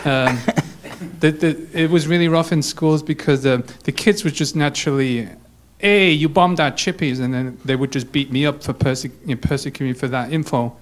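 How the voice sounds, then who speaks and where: German-born man, mid-pitched, stumbling and restarting as he talks through an old memory. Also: slight background noise. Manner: halting, many restarts. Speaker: church group member. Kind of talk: personal story in casual talk.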